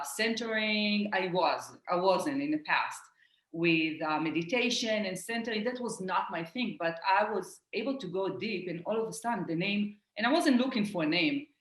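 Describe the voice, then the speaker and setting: Israeli woman in an echoey room. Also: on the low side. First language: Hebrew. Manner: earnest, animated, with frequent restarts. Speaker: life coach. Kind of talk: casual conversation.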